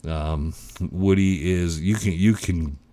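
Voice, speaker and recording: A man's voice: deep voice, takes it slowly, mellow. A middle-aged man hosting a livestream, chatting casually and reading out viewer comments in a rambling, self-interrupting way. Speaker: livestream host.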